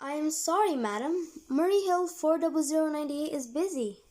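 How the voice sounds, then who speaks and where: Radio drama bit part: actress as courteous switchboard operator, high, formal voice, faintly noisy recording. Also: normal speed, a little mellow, close to an everyday voice. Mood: routine, polite. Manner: formal, polite, routine. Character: polite, formulaic female telephone switchboard operator. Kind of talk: radio play acting.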